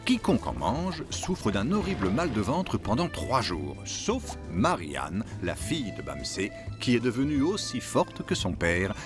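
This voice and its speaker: A man narrating a children's cartoon as an expressive, dramatic storyteller. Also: fairly low pitch, unhurried, hardly any pauses, music in the background.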